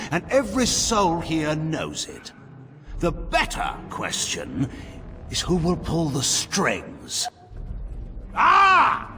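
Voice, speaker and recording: A proud, scornful general addresses others in theatrical fantasy game style. His voice swings dramatically and has some gravel as he declaims with a commanding sneer over music. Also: fairly high pitch, big-room sound, exaggerated voice. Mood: sneering contempt.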